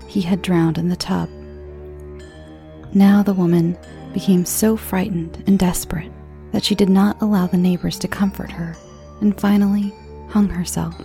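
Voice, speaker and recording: Slow, creaky-voiced woman telling dark tales. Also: fairly low pitch. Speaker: podcast host and storyteller. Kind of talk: scripted horror fairy-tale narration. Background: soft music.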